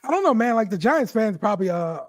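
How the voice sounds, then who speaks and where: A man's voice: high-pitched, very animated, fast. A man co-hosting a casual sports podcast, upbeat and teasing, often repeating himself. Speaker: podcast co-host.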